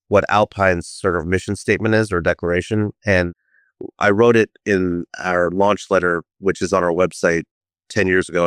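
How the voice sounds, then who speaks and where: A man's voice: low voice, smooth, no echo, clean studio sound. A man being interviewed as a company co-founder, explaining his firm's mission and his family background thoughtfully. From United States. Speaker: guest, firm co-founder. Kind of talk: interview answers.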